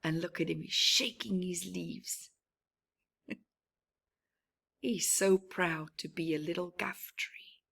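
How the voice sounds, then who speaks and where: A woman reading a picture story expressively to young children, in a deliberate manner with asides to her listeners. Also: lowish voice, gravelly, a bit bright.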